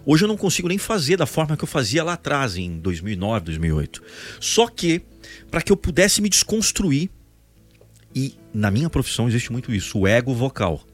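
An animated man with a São Paulo state Brazilian accent hosts a casual podcast. His pitch swings widely as he makes his points with emphasis. Music plays along with his voice. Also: fairly quick. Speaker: podcast host, voice-over announcer and actor.

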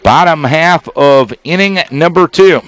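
A man doing live baseball play-by-play as a radio announcer, speaking in measured, clipped phrases with deliberate pauses. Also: a little high, a bit bright, dry recording. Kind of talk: live sports play-by-play commentary.

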